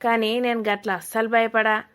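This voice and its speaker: Woman voicing a sharp-tongued old Telangana village woman, high-pitched. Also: flat delivery, normal speed, clear, resonant tone, lightly acted. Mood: suspicious, sarcastic. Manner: blunt, mocking, rambling accusations. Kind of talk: cartoon comedy dialogue.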